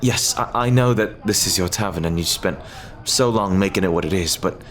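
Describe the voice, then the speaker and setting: A man playing an earnest, lovestruck pirate captain in an ASMR roleplay, speaking haltingly and tenderly in formal, old-fashioned phrases. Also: sits low, fairly bright tone. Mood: earnest, hesitant. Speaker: audio roleplay performer.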